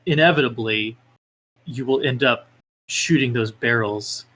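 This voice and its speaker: A man's voice: mid-range voice, unhurried, a bit bright, low-quality recording. A man being interviewed as a guest, talking casually with hesitant phrasing and lots of filler words. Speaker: podcast guest, independent game developer.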